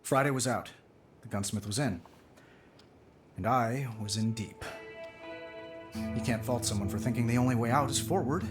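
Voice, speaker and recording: An actor playing a weary, guarded superhero tycoon in a video game, with a clipped, wry delivery. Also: male voice, medium pitch, moderate pace, long pauses, faint music behind, lightly acted. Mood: brooding.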